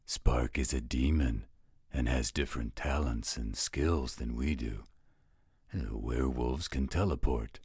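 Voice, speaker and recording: A man voicing a gruff, blunt, protective werewolf guardian with a husky voice in an audio roleplay drama. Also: darkish tone, no echo, clean studio sound, heavily acted. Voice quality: very low, husky rasp. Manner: slow, blunt, coarse-spoken.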